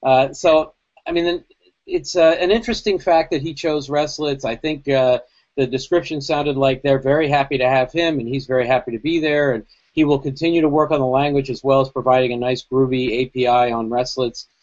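A man's voice: medium pitch, steady tone, a little fast, a little mellow. A man co-hosting a casual tech podcast, talking in long rambling thoughts full of asides over a video call. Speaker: podcast co-host. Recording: dull lo-fi video call audio.

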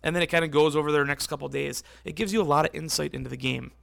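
A man hosting a casual solo podcast, rambling and correcting himself as he talks, with a voice that is going hoarse. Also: on the high side, fairly even intonation, racing, a bit bright, dry recording. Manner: rambling, self-correcting, conversational. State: losing his voice, hoarse. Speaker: podcast host.

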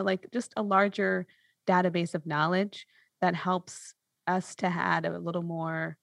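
A woman's voice: fairly low pitch, creaky, dry recording, studio quality. A woman hosting a podcast, talking casually and reflectively, thinking through her points with lists and examples. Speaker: podcast host.

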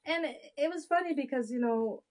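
Candid woman with a fairly high voice. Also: a little fast, darkish tone. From Haiti. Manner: candid, frequent restarts and laughs. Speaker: guest, adoption consultant. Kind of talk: casual interview conversation.

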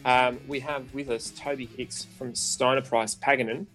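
A man's voice: mid-range voice, little pitch movement, moderate pace, fairly bright tone, faint music behind, low-quality recording. A man co-hosting a finance podcast, introducing the show and a guest with many ums. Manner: hesitant, many ums and uhs. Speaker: podcast co-host.

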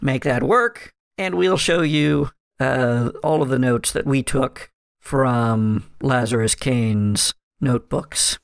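A man voicing the leader of a group of investigators, talking in a slow, measured, halting way. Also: mid-range voice, vocal fry, no echo, studio quality. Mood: earnest.

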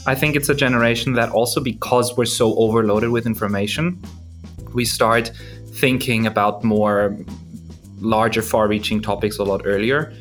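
A man's voice: fairly low pitch, steady tone, normal speed, music in the background. A young man from Germany, a student guest who talks thoughtfully and often restarts his sentences.

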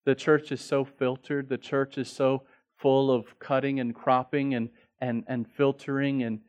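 A middle-aged man preaches at an average pitch. He talks like he is telling stories to friends and often stumbles over repeated words. He builds to loud, emphatic peaks. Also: little pitch movement, measured pace. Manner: conversational storytelling with frequent restarts, building to emphatic peaks. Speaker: pastor. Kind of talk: sermon.

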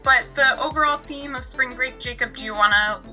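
A woman's voice: sits high, fairly quick, sharp tone, soft background music. A woman hosting a podcast in a casual conversational interview, speaking with enthusiasm. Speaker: podcast host.

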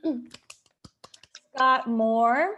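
A woman's voice: fairly high pitch, drawn-out words, sharp tone, lo-fi sound, slight background noise. A woman speaking casually as the host and director of a podcast show. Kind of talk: casual, informal show hosting.

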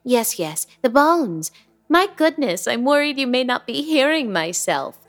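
A creepy young landlady in a radio play, sing-song and showy, her voice swooping high and low with dramatic flair. She drags out words and sounds sweet with an eerie edge underneath. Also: big pitch swings, fairly bright tone, heavily acted. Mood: insistent. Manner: lilting, grandly affected, drawn-out words. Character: eerie, theatrical young woman landlady, unsettling.

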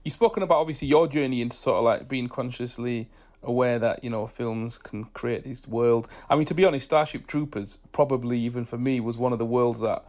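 Fast-talking male podcast host. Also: mid-range voice, a little mellow. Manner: loose, chatty, swears freely. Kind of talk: casual podcast interview.

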